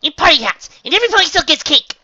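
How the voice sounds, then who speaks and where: A bouncy, hyper party pony from a storybook, performed by a man, crying out in sing-song. The voice is extremely high and loud, swooping with glee. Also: huge pitch swings, very slow, dry recording, highs cut off, exaggerated voice. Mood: insistent, excited.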